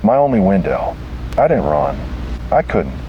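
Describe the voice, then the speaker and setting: A man's voice: low-pitched, very animated, a little slow, dull audio, background noise. A man reading a scripted first-person horror story in a grave, dramatic way.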